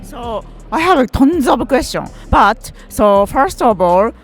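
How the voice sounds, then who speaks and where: A woman's voice: fairly high pitch, very animated, slow, faint music behind. A woman hosting a podcast interview at a startup event, asking her questions in a tentative, loosely phrased way.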